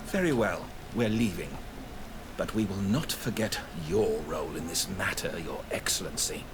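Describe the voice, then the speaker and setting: Stern, menacing priest, fantasy game; deep-leaning, swinging intonation, background noise. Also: male voice, expressive, moderate pace, over music. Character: stern, haughty male missionary priest. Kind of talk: scripted game dialogue acting.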